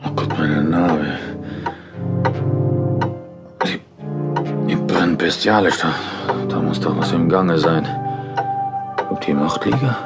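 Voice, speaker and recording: An actor playing a hard-bitten, exasperated police inspector in a German radio play, blunt and dramatic. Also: male voice, deep voice, unhurried, long pauses, loud music behind the voice. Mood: uneasy, weary.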